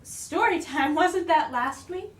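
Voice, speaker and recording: Woman playing a high-voiced, haughty young queen. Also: expressive, takes it slowly. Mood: petulant. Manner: haughty, breezy, dismissive. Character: spoiled, bored young queen, breezily bossy and vain. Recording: echoey room, distant mic, noisy.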